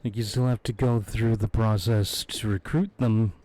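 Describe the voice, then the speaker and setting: A man streaming a video game, hoarse from losing his voice, chatting in a laid-back, rambling way with frequent chuckles, close on his microphone. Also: fairly low pitch, fairly even intonation, moderate pace, dark tone. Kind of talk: casual live-stream chat. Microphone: close desk microphone.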